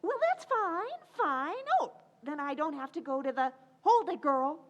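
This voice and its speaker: A woman's voice: high-pitched, sing-song, measured pace, lo-fi sound. Very swoopy, chirpy radio neighbor played by a woman. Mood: eager. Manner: exclamatory, bubbly, theatrical. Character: chirpy, scatterbrained, helpful housewife best friend. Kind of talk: old-time radio sitcom acting, live recreation.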